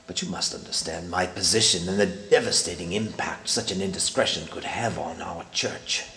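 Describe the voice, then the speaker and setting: An older man playing a grave, guarded, pious cardinal in a period detective drama, speaking in a formal, measured way. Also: deep voice, sharp tone. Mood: guarded, solemn. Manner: formal, measured, hesitant, sanctimonious. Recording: echoey room with faint noise.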